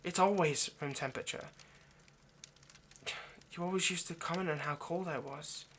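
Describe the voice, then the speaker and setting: A bright, forward voice over clear background noise. It is a man acting as an ancient male vampire, anxious and lovesick, in an intimate audio roleplay. He coaxes and rambles haltingly, speaking straight to his captive. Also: on the high side, close to an everyday voice. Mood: earnest, persuading. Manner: hesitant, halting, rambling, coaxing. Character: anxious, lovesick seven-hundred-year-old male vampire kidnapper. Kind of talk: intimate ASMR roleplay monologue addressed to the listener.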